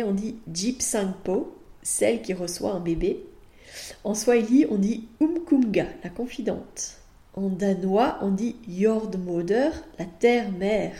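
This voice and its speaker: A woman's voice: medium pitch, dark tone, roomy sound. A woman from France with a French accent, hosting a podcast as a midwife and talking in a monologue.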